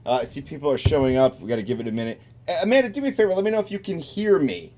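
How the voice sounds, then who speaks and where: A man's voice: a little high, very animated, very fast, darkish tone. A man hosting a live podcast from a bar, with loose, profane banter. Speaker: host. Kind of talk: casual live podcast chat.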